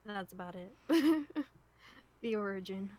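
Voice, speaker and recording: A woman's voice: medium pitch, animated, a little slow, darkish tone. A woman co-hosting a group stream, talking casually about the show she helps write.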